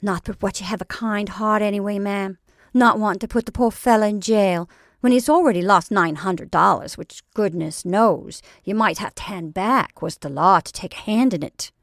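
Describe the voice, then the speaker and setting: A woman's voice: mid-range voice, normal speed, no room sound, clean studio sound, voice pushed up, natural voice. A woman voicing a blunt, practical neighbor woman who speaks in a firm, matter-of-fact way.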